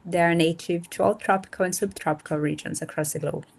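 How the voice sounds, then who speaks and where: A woman in her thirties from Brazil, with Portuguese as her first language, talking casually as a guest on a video call and pausing often to find her words. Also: lowish voice, fairly even intonation, gravelly, a little hiss or hum. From north and northeast Brazil. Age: 30s. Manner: thoughtful, searching for words with fillers. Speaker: guest, nonprofit founder. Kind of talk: casual interview talk. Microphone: remote video call.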